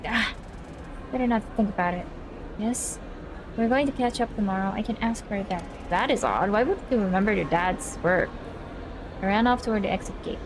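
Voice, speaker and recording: A woman from the Philippines, slightly raspy, chatty and giggly as she reads a visual novel aloud on stream. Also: medium pitch, moderate pace, noisy background. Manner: chatty, giggly, reacting to the game. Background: game music.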